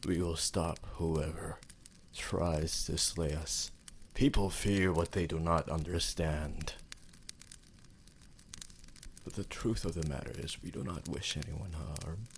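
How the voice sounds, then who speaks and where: Guy doing an old vampire boyfriend asmr, super low voice, formal n devoted, bit of noise behind it. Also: vocal fry, full character voice. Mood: brooding, protective. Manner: slow, formal, theatrical phrasing with pauses. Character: ancient possessive male vampire, devoted and refined lover. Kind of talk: roleplay ASMR, intimate dramatic monologue.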